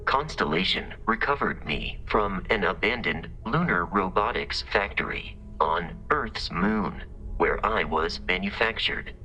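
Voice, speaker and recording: A courteous robot companion from a video game, low and crisp-edged. It speaks formally and precisely, with literal-minded dry humor in a deadpan delivery, over faint music. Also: male voice, measured pace, full character voice, rough recording. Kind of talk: scripted video game character dialogue.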